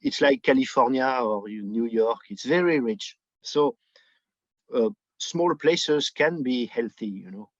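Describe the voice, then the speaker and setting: A man's voice: medium pitch, expressive, measured pace, no room sound. A Frenchman with a French accent, speaking English as a second language in a discussion, hesitating over words and repeating them for emphasis. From France. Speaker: podcast guest, book editor. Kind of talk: conversational panel discussion. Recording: slightly dull, lo-fi remote audio.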